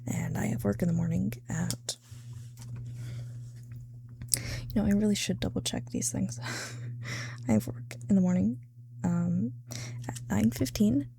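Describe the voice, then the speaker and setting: A woman's voice: fairly low pitch, very animated, unhurried, vocal fry, long pauses, dark tone, a little hiss or hum. A tired woman chatting casually to her viewers in a partly whispered ASMR style, rambling in a self-amused way with loose, sweary language. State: tired, late at night. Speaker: ASMR creator.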